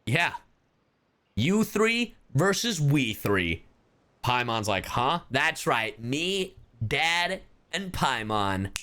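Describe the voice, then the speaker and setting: Animated, excitable male game streamer. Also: medium pitch, extremely slow. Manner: excitable, slangy, foul-mouthed. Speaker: livestreamer. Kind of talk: casual live game commentary to chat.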